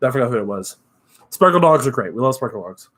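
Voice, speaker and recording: A young man with a nasal voice, chatting casually on a live stream, upbeat and relaxed, full of fillers. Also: mid-range voice, quick pace. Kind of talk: casual live-stream chat reading comments.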